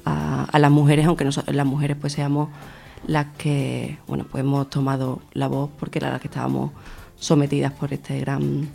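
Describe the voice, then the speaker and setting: A young woman with a gravelly, creaky voice gives interview answers. Her pitch moves very little, and she builds her thoughts hesitantly as she goes. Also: monotone, normal speed, mellow. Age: young adult. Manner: hesitant phrasing, searching for words. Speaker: interview guest, feminist activist.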